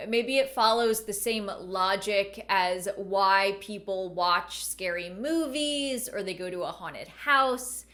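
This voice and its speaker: A woman's voice: mid-range voice, fairly bright tone. A woman giving casual video essay commentary in a wry, bemused manner. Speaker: video creator and host. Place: at home, indoors.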